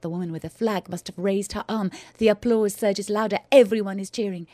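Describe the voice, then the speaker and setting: A woman performing her own first-person audio drama, in a measured, intense delivery that often drops to a hushed whisper. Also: medium pitch, fairly even intonation, dry recording. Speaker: writer and performer.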